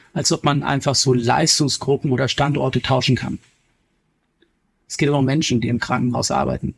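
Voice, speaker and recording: A man from northern Germany answering as an interview guest, explaining things at length in a measured, earnest way. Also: medium pitch, gravelly. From Kiel, northern Germany. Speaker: interviewed guest, healthcare executive and author.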